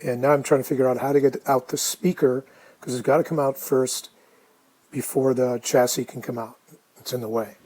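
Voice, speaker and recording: A man casually narrating a hands-on restoration project, thinking aloud in an informal, unhurried way. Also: mid-range voice, steady tone, dark tone, low-quality recording.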